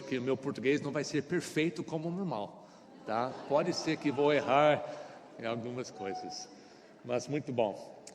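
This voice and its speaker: Pastor, middle-aged American man, English first language, slightly high voice, reverberant church hall. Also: darkish tone. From United States. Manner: speaks in short phrases with small grammar slips and frequent "tá?". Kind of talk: sermon. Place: church sanctuary.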